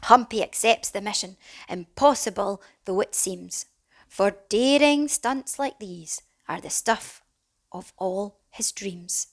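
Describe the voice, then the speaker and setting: A woman reading a rhyming children's storybook as a teacher would, dramatic and animated, with some hushed phrases. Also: medium pitch, slow. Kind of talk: expressive children's storybook narration in rhyme.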